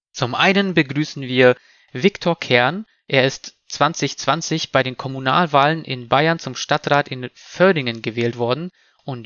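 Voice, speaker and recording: A man hosting a discussion podcast, speaking in a measured, formal and articulate way. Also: mid-range voice, fairly bright tone, dry recording, muffled recording. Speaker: podcast host moderating a panel. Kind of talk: scripted introduction, moderated discussion.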